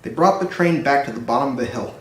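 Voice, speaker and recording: A man's voice: medium pitch, animated, fast, a bit bright. A man narrating a children's story as a storybook reader. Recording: roomy echo, distant microphone.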